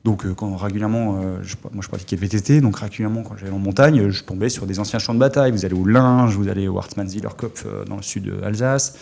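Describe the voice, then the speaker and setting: Gravelly low-voiced Alsatian man. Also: steady tone, darkish tone. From Alsace, France. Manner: halting, many fillers and restarts. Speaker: guest, former army officer. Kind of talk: casual interview talk.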